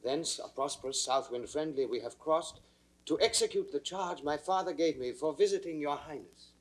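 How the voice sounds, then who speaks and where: An actor playing a young prince, courteous and earnest, declaiming Shakespearean verse formally. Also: male voice, a little high, normal speed, close to an everyday voice. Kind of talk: classical Shakespearean stage acting.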